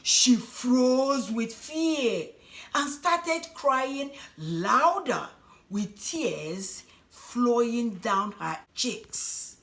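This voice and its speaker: A woman telling a folk tale as a storyteller, in a dramatic, expressive manner. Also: on the high side, extremely slow. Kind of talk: scripted folk tale narration for children.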